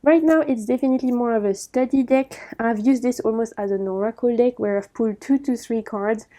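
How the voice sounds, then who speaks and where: Casting note: friendly, enthusiastic female reviewer, slightly high voice, warm mellow tone. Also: fairly quick. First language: not Chinese. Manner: chatty, enthusiastic, self-correcting. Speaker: tarot deck reviewer. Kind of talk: casual review walkthrough.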